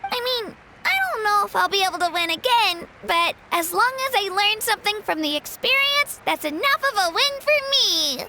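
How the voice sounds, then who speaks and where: A woman's voice: very high voice, animated, normal speed, hardly any pauses, heavy background noise. A woman voicing an earnest, modest young girl athlete in a video game. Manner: eager, self-effacing. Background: game music.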